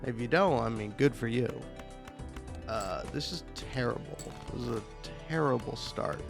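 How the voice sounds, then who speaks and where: A man's voice: mid-range voice, creaky. A man with a mid-range voice talks straight to the listener in a loose, improvised gaming-video style. He rambles, stalls and cuts himself off, swearing casually and putting himself down for comic effect. Music plays behind him. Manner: rambling, halting and self-interrupting. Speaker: video game YouTuber. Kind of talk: improvised, self-deprecating comic intro monologue.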